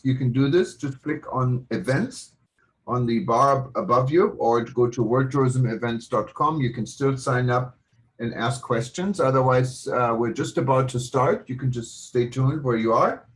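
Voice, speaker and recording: A man hosts a live online broadcast from a room in his home. His voice sits at a middling pitch, and he chats through the announcements loosely, stopping to apologise and correct himself. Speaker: host. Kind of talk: live webinar hosting.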